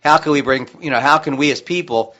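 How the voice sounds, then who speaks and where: An American man co-hosting a radio show in casual discussion, rambling haltingly and often restarting mid-sentence. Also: a little high, sharp tone, muffled recording.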